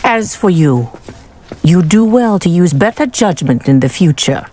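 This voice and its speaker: A woman voicing a strict but fair flying teacher in a video game, crisp and authoritative. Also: lowish voice, lively intonation, measured pace, dark tone, a little hiss or hum. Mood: stern. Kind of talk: video game character acting.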